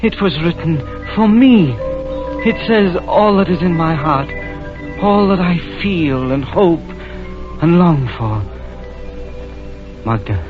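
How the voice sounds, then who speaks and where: An actor playing an earnest, lovestruck prince with ardent, halting delivery in a 1940s radio drama. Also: male voice, a little high, lively intonation. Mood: tender, pleading. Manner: ardent, halting, breathy declarations. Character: lovestruck, earnest prince wooing an opera singer. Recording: dull vintage radio recording with music.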